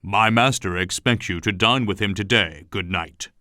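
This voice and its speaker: A man voicing a loyal outlaw who speaks respectfully in a lower, quieter tone than his narration. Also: moderate pace, no room sound, studio quality. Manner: respectful, low-voiced. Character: big, loyal male outlaw lieutenant.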